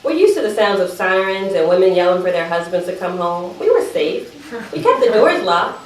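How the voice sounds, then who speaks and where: A woman's voice: lowish voice, sing-song, normal speed. Woman as teenage sister making excuses to Mama, apologetic yet talkative, dramatic reading in a reverberant room with faint noise. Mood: defensive. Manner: defensive, apologetic, chatty digressions. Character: evasive teenage sister pleading her case to Mama. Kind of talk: dramatic monologue read aloud at a live event. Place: live event room, recorded from a distance. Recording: roomy, distant microphone, faint background noise.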